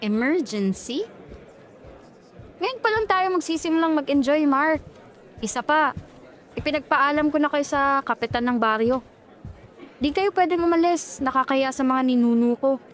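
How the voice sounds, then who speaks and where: A woman's voice: high-pitched, animated, normal speed, long pauses. A woman voicing a falsely cheerful young woman in a horror audio story, coaxing and soothing in a sinister way. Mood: insisting.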